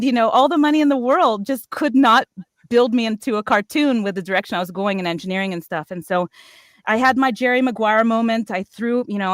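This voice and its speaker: A woman's voice: mid-range voice, no room sound. A middle-aged American woman telling personal stories casually, in a playful, chatty way that often interrupts itself. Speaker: voice actor on a cast panel.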